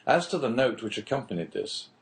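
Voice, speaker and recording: A man reading a literary novel as an audiobook narrator, in measured, clearly phrased storytelling. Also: medium pitch, big pitch swings.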